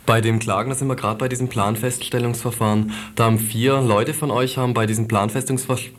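A man's voice: mid-range voice, fairly even intonation, fairly quick. A man conducting an informal radio interview, asking probing questions in a conversational, attentive way.

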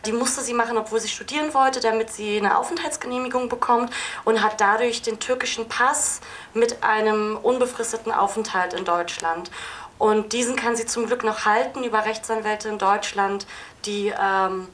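A woman's voice: on the high side, fairly even intonation, moderate pace, fairly bright tone. A woman film director giving spontaneous, explanatory answers at an audience Q&A, hesitating as she goes. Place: film festival screening venue. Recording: noisy live event recording.

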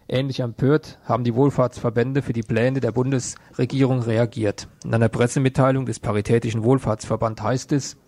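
A man reads the news as a radio presenter. His delivery is sober and even, with little melody, in a close, dry recording. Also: mid-range voice, no room sound. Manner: sober, matter-of-fact. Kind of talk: scripted news reading.

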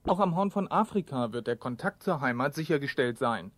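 Guy narrating a news report from script, mid pitch, very little echo. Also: expressive, a little fast, fairly bright tone. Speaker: news reader.

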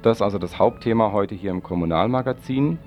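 A man's voice: lowish voice, fast, a little mellow, faint music behind. A man hosting an informal radio magazine from a studio, phrasing things loosely and correcting himself as he goes. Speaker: radio host. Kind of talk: informal magazine show introduction and interview. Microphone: studio microphone.

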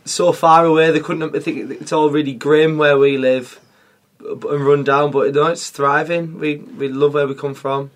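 A man's voice: fairly high pitch, little pitch movement, normal speed, hardly any pauses. A man from England, a band's drummer, chatting in a friendly way during an interview. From North East England. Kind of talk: interview chat.

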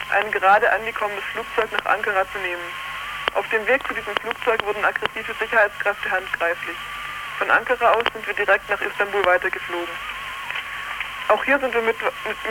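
A woman's voice: mid-range voice, very noisy. A woman reading out a prepared statement as an activist over a poor long-distance telephone line, stumbling over words here and there. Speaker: activist delegation member.

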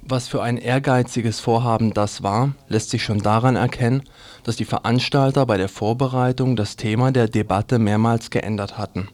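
A man's voice: medium pitch, steady tone, normal speed, darkish tone. A man reading a scripted news commentary as a radio presenter.

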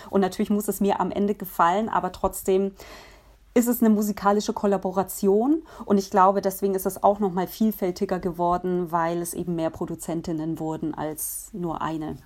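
Thoughtful woman with a lower voice. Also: a little mellow. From Germany. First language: Polish. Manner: thoughtful, many fillers and restarts. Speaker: musician. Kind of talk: casual interview answers.

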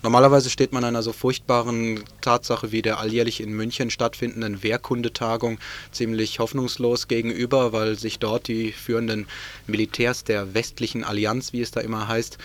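A man presents political commentary on the radio. His pitch sits in the middle and barely moves, which gives the sardonic wording a flat delivery.

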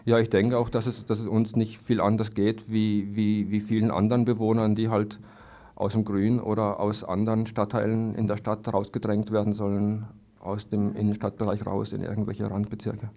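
A man answers hesitantly in a spontaneous radio interview, haltingly and often repeating words. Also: fairly low pitch, completely flat delivery, mellow. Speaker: interviewed evicted trailer-camp resident. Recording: dull lo-fi archival radio recording.

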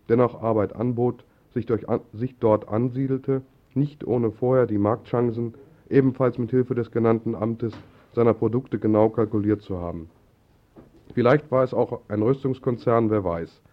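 Low-voiced man narrating, dull lo-fi sound. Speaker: radio narrator. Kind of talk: scripted satirical story narration. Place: radio broadcast recording. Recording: dull lo-fi 1980s radio recording.

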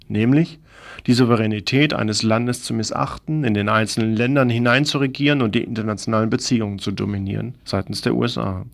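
A man's voice: mid-range voice, vocal fry. A man reading scripted political news commentary on the radio in an opinionated, pointed way.

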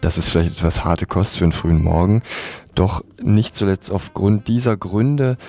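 A man's voice: low voice, normal speed, warm tone, faint music behind. A man presenting scripted reports on the radio.

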